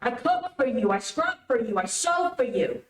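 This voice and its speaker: Echoey, high-voiced nagging radio wife, acted by a woman. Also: sing-song, normal speed. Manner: whiny, reproachful. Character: nagging, self-pitying wife in a bickering comedy marriage. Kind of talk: old-time radio comedy acting. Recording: echoey, distant streamed stage recording.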